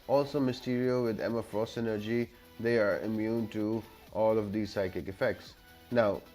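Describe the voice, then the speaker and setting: A man's voice: medium pitch, a little mellow. A man giving an instructional, step-by-step voice-over for a gameplay tutorial. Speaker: game guide video creator. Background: background music.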